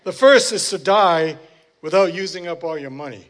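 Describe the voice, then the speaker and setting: A man's voice: sits high, moderate pace, a bit bright. A man interpreting a sermon into English, phrase by phrase, often searching for words and correcting himself. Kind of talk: consecutive church interpreting.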